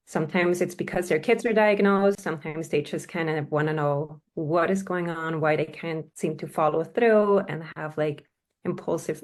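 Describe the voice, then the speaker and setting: A woman from Switzerland, a health and wellness coach introducing herself in friendly, fluent talk with frequent fillers. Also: low voice. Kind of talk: self-introduction at an online networking meeting.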